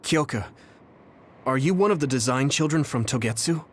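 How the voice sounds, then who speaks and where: An actor playing a gentle, sincere young soldier hero in a video game. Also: male voice, mid-range voice, animated, moderate pace, lightly acted. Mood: warm, reassuring. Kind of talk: English video game dub acting.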